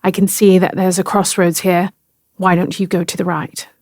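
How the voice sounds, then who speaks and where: A woman's voice: on the low side, little pitch movement, moderate pace, darkish tone, dry recording. Earnest mother leading a rescue, dry sound. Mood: measured resolve. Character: caring, determined mother leading a rescue team.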